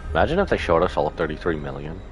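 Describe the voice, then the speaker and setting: A man's voice: rumbling low, sing-song, quick pace, highs cut off. A man giving casual reaction commentary as a game streamer. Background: game music.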